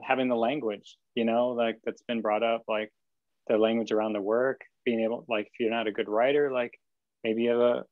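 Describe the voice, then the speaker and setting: A man's voice: mid-range voice, no echo. A man in his thirties speaking casually as a panelist on a video call from a studio, with loose phrasing and frequent fillers. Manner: loose, filler-heavy, restarts. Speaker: panelist artist. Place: studio workspace. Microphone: video call webcam. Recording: dull dry webcam audio.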